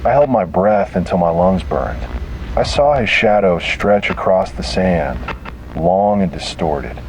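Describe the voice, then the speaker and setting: A man's voice: low-pitched, expressive, a little slow, a little hiss or hum, poor audio. A man reading a scripted first-person horror story in a grave, dramatic way.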